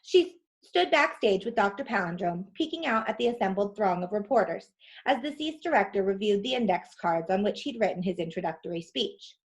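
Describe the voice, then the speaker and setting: A woman reads a children's story aloud as narrator. Her delivery is expressive, and her voice sits at a middle pitch. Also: fairly quick, rough recording.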